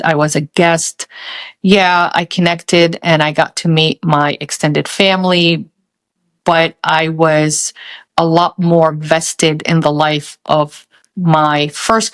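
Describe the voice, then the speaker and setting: Slow, low-voiced reflective woman. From Saudi Arabia. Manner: thoughtful, halting, many pauses and fillers. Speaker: podcast host. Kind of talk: reflective personal storytelling.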